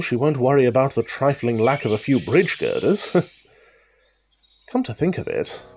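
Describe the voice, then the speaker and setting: A man playing an excitable, musing rambler. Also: mid-range voice. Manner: musing, old-fashioned British idioms, exclamatory. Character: chatty male country rambler talking to himself, wry and excitable. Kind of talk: radio drama monologue, thinking aloud.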